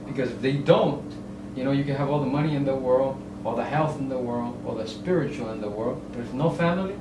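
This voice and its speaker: Halting middle-aged man, roomy distant sound. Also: mid-range voice. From El Salvador. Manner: halting, many fillers and restarts, explains with homely analogies. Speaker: guest, real estate broker. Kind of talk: casual interview chat. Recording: roomy, distant mic, ambient noise.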